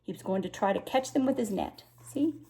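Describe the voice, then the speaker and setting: A woman's voice: a little high, animated, a little fast, warm tone, husky, rough recording. An older woman, a grandmother, reading a children's storybook aloud expressively, with little explanations for a child. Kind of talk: children's storybook narration with asides.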